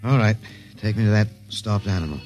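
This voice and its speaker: An actor playing a weary, stubborn human captive with a wry, hesitant manner in a 1950s radio drama. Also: male voice, lowish voice, fairly quick, a little mellow, dull audio, soft background music, natural voice. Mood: weary, subdued.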